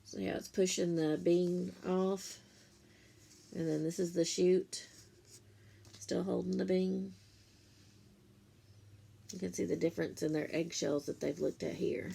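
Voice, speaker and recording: A woman reading a picture book to children like a teacher, in simple, deliberate phrases, pausing to explain and ask questions. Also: fairly low pitch, steady tone, gravelly, mellow, a little hiss or hum. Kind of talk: read-aloud storytime with explanatory asides.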